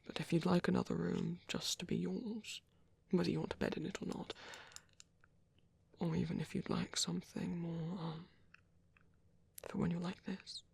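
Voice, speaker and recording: Casting: male actor as a tender, reclusive vampire priest for ASMR roleplay; very husky, heavy fry, hushed and reassuring. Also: high voice, flat delivery, normal speed, no echo, full character voice. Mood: hesitant, caring. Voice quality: breathy, soft, often dropping to a whisper. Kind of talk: ASMR roleplay, soft intimate monologue.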